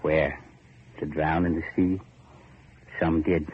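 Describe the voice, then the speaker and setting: An actor playing a grim, bitter factory overseer on an island in a vintage radio horror drama, speaking in a dour, ominous, rustic way. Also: male voice, a bass voice, little pitch movement, slow. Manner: dour, ominous, archaic rustic speech with "aye" and "ain't". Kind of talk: 1930s-40s radio horror drama acting. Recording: muffled, noisy old radio recording.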